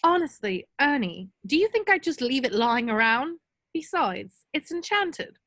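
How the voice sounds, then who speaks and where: A woman's voice: sits high, big pitch swings, unhurried, a bit bright, no room sound, full character voice. A woman playing an earnest, bossy, bookish teenage schoolgirl in an amateur table read. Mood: brisk, organizing.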